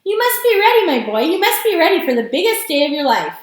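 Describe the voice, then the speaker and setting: A woman voicing an excitable, bossy old grandfather in a storybook, loud, urgent and exclamatory. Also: sits high, brisk, voice pushed up. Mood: urgent excitement. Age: older. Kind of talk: storybook character reading. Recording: strongly echoing room.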